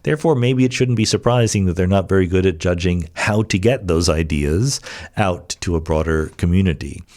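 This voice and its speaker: A man's voice: sits low, normal speed, darkish tone. A man hosting a podcast, explaining things in a casual, conversational monologue with emphatic rhetorical asides. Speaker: podcast host answering listener questions. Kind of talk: casual explanatory monologue, reads questions aloud.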